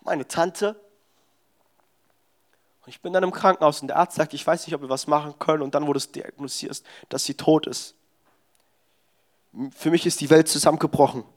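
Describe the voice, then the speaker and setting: A man's voice: fairly high pitch, fairly even intonation, moderate pace, pauses often. A young man preaching a sermon in a church service, exhorting the congregation with emphatic, personal testimony.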